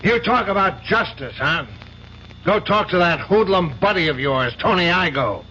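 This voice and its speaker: An actor playing a stern, domineering older law-firm boss with an American accent, scolding with authority in 1970s radio drama style. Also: male voice, high-pitched, animated, moderate pace, sharp tone. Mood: angry, commanding. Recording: dull, noisy old radio broadcast.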